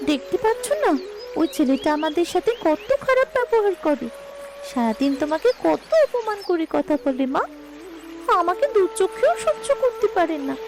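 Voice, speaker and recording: Sad little cartoon girl complaining to mom, super high squeaky pitch, music behind. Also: sing-song, normal speed, exaggerated voice. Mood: tearful complaint. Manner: plaintive, complaining. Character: unhappy, aggrieved young daughter confiding in her mother. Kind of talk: melodramatic cartoon story acting.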